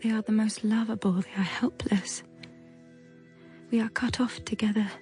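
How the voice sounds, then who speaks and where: An actress playing a haunted young governess who narrates in a hushed, breathy, halting way, fearful and protective. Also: female voice, medium pitch, flat delivery, faint music behind, close to an everyday voice. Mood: desperate devotion. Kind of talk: intimate gothic audio drama narration.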